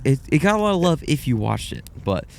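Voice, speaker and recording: A man's voice: on the high side, sing-song, brisk, gravelly, a little hiss or hum. A young man co-hosting a casual film podcast, rambling and hedging as he gives his take. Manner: rambling, hedging, self-deprecating. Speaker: podcast co-host. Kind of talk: casual film review chat.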